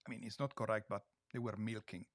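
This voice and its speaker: A man, a winery guest in a casual interview chat, earnest and often pausing to search for words. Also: lowish voice, flat delivery, dry recording, studio quality.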